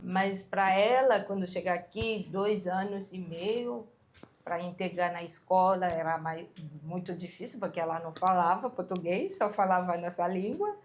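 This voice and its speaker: A woman's voice: fairly low pitch, normal speed, a little hiss or hum. A woman with a Haitian accent, speaking earnestly about her child's schooling. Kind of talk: documentary interview.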